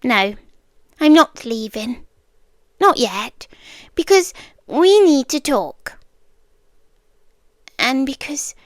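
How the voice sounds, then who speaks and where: A British woman playing a calm, obsessive stalker girlfriend in an ASMR roleplay, speaking softly and deliberately close to the microphone, often drifting into whispers. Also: high voice, dry recording, heavily acted. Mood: firm, composed.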